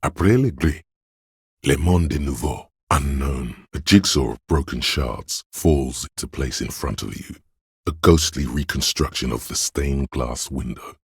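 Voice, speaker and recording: An actor voicing a detective's inner sense of sight in a video game, calm and observant, narrating in measured, literary lines with a dry wit. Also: male voice, no room sound, full character voice. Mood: observant, solemn. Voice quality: very deep, gravelly, husky. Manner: measured, deliberate, dryly wry.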